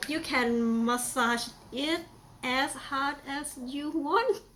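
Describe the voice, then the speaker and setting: A woman's voice: high-pitched, slow pace, a bit bright, a little hiss or hum. A young woman from Thailand with a Thai accent, chatting playfully through a casual how-to demonstration with many fillers. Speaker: vlogger.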